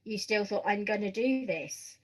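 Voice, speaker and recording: A woman speaks as a podcast interviewer. Her pitch is average for a woman, and she puts her questions in a conversational way. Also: moderate pace.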